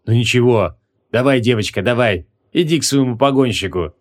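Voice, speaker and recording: A man voicing a gleeful, excitable half-blood sorcerer who drives flying beasts, in an audiobook. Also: medium pitch, a little fast, natural voice. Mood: coaxing. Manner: excitable, exclaiming, coaxing. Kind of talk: audiobook character acting.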